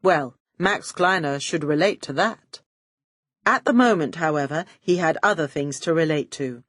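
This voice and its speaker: A woman's voice: deep voice, normal speed, a bit bright, no room sound, studio quality. A woman reading a first-person detective story as an audiobook narrator, with a wry, arch and poised delivery.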